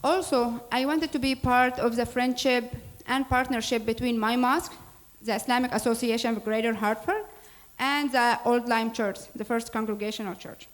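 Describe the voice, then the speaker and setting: A woman's voice: fairly high pitch, fairly even intonation, moderate pace. A woman from Syria, speaking earnestly as a board president introducing a program, with small grammar slips. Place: church hall.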